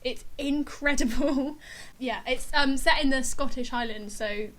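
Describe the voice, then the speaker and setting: A woman's voice: sits high, a little slow, fairly bright tone. A woman co-hosting a music show, talking casually and enthusiastically about songs.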